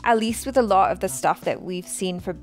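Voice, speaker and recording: A woman's voice: mid-range voice, fairly quick, soft background music. A young woman chatting casually as a reaction host, thinking aloud in a conversational way. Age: young adult. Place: small indoor studio. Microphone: headset boom mic.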